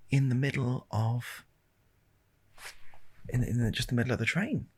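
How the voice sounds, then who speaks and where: A British man telling a rambling comic ASMR story in a deadpan, hesitant way, with a husky, gravelly voice, close to the microphone. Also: medium pitch. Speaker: video storyteller. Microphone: close handheld-recorder style microphone.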